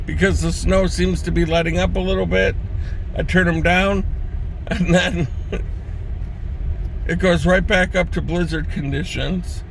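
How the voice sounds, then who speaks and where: A man vlogging from his car in a snowstorm, losing his voice, chatty and quick to chuckle. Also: fairly even intonation, vocal fry. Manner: chatty, easily amused, chuckles often. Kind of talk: casual driving commentary. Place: inside a car driving through a snowstorm. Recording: loud car rumble and road noise. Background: car engine and road noise.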